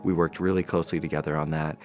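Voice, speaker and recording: A man with a very deep voice speaks admiringly of a colleague in a tribute interview. Soft music plays underneath. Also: level tone, rapid-fire.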